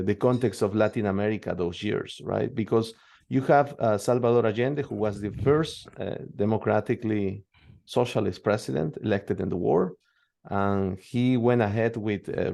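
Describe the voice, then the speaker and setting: A man from Peru with a tongue, answering interview questions in a hesitant way, full of pauses and restarts. His voice sits low and has a gravelly edge. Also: warm tone. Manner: hesitant, many fillers and restarts. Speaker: guest expert.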